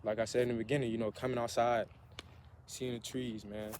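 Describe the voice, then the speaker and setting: A man's voice: medium pitch, flat delivery, a little fast, a little mellow. An earnest young man in his twenties talks about self-improvement, looping back over his words as he goes. His voice sits in an ordinary middle range. Faint outdoor ambience and passing traffic hum behind him. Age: 20s. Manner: earnest, repetitive, self-motivating. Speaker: vlogger. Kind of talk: motivational vlog monologue.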